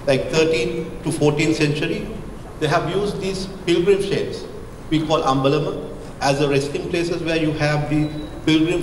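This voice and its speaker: A middle-aged Sri Lankan man speaks slightly high-pitched into a handheld mic, pausing often and filling gaps with "uh" as he presents slides. The hall rings with long reverb. A steady hum of room noise sits under his voice. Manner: hesitant, searching for words, frequent fillers. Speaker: architect giving a lecture. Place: lecture hall, indoors. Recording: echoey hall with background hum.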